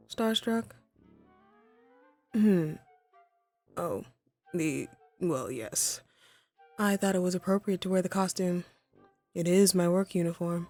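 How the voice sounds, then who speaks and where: A woman's voice: fairly low pitch, warm tone. A woman voicing a haughty, wry female supervillain in a scripted audio roleplay, poised and theatrical but conversational. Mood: imperious.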